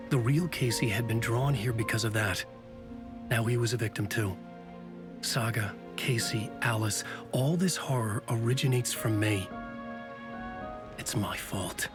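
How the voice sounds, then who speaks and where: A man playing a guilt-ridden, resolute writer in a video game, speaking gravely and wearily with music behind him. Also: medium pitch, lightly acted. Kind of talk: dramatic game dialogue and brooding first-person narration.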